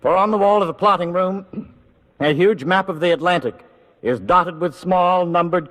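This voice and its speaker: Solemn, higher-pitched American male narrator. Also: poor audio. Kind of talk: 1940s wartime radio narration.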